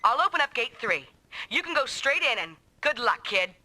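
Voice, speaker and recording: An actress voicing a proud, sharp-tongued woman mech pilot in an anime-style video game, bossy, taunting and combative. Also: fairly high pitch, sing-song, normal speed, heavy background noise. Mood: brisk, commanding. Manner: brash, taunting, commanding.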